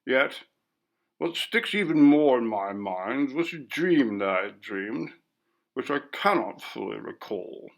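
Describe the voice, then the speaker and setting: A man reading a ghost story, voicing a bold, blustering, pompous gentleman with emphatic, old-fashioned speech. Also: mid-range voice, expressive, takes it slowly, creaky. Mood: moody, uneasy.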